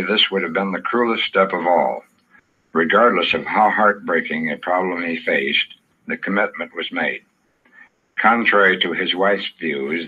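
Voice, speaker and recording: A man speaking as a police chief, giving solemn, measured, scripted commentary in a formal 1950s radio style. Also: sits low, slightly rough. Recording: dull old radio recording.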